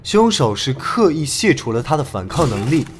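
A man's voice: medium pitch, big pitch swings, moderate pace, a little hiss or hum, lightly acted. An actor playing a confident young police detective in an audio drama, laying out his reasoning in an articulate, methodical way. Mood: earnest, analytical. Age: young adult. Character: confident young male police detective, analytical, returned from abroad. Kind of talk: Chinese audio drama acting.

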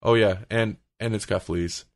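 A man with a very deep voice hosts a casual podcast. He retells things in a loose, rambling, conversational way. The recording is dry and close, with no room sound. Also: fairly even intonation, moderate pace, no room sound. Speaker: podcast host.

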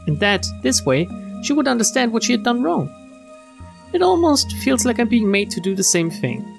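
A streamer with a mid-range, very animated voice reads a visual novel aloud. The delivery is expressive and slips into casual, joking commentary. Game music and rain play behind the voice. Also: mid-range voice, normal speed, vocal fry. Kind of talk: let's play read-aloud with casual asides.

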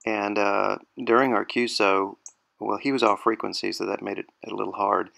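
A middle-aged man with a gravelly voice giving a casual, halting hands-on demonstration at an indoor desk. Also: on the low side. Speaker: amateur radio hobbyist demonstrating gear. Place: small indoor radio desk. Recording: steady noisy background hiss and ambience.

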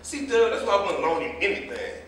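Male actor as argumentative gossip, stage drama, quick, defensive, distant mic. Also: high-pitched, big pitch swings, a bit bright. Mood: needling. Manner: needling, defensive. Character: nosy, argumentative gossiping cab driver. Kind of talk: staged play reading. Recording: echoing room from far off, faint noise.

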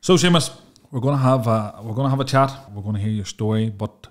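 Low-voiced chatty co-host. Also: male voice, fast talker. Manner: chatty, laughing questions. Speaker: podcast co-host. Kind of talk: casual interview chat. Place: podcast studio.

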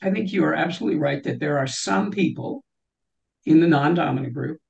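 A woman's voice: deep voice, brisk, vocal fry, a little mellow, lo-fi sound. An older woman, a guest on an interview show, speaks from home over a video call, with many restarts and "you know" fillers. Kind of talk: interview conversation. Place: home room with shelves.

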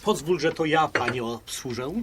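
An actor playing a genial, gallant émigré businessman of about sixty in an audio drama, with a Polish highlander accent and folksy talk sprinkled with English. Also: normal speed, background noise, close to an everyday voice. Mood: jovial, gallant. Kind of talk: audio drama acting, conversational.

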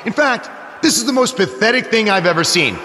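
An arrogant wrestling champion for a video game promo. His voice is high and sharp, swinging widely as he sneers in theatrical indignation. It echoes through a big reverberant space. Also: animated, a little fast, a little hiss or hum. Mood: scornful, angry. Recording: echoing arena reverb.

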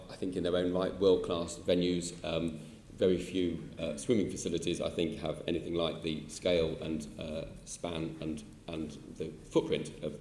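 Low-voiced older British man, halting testimony. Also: a little mellow, a little hiss or hum. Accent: British English. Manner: measured, halting, many filler sounds. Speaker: chief executive answering questions. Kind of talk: formal committee testimony. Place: large council chamber. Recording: echoey hall with faint ambience.

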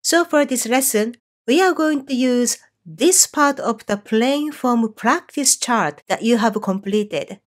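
A woman teaching a Japanese course online, explaining clearly and slowing down on example phrases. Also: a little high, animated. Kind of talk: scripted online lesson.

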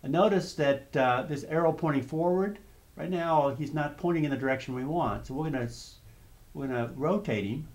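A man narrating a step-by-step software tutorial over screen footage, explaining calmly with small restarts. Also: a little high, moderate pace. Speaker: software demonstrator.

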